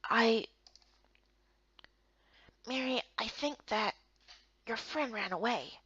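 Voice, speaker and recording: A woman voicing a gentle, kindly young man in a fantasy game story, soft-spoken and halting. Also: a little high, lively intonation, husky, muffled recording, exaggerated voice. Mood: apologetic.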